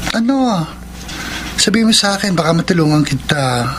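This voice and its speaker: Flamboyant, lively young Filipino man. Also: high voice, moderate pace, a little hiss or hum. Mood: concerned. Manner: campy, playful, exclamatory. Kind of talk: Filipino radio drama acting.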